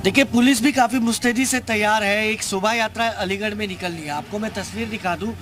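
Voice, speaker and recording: A man's voice: high voice, brisk, a bit bright, a little hiss or hum. A man reporting live for television news from a street outdoors. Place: outdoor street outside a temple.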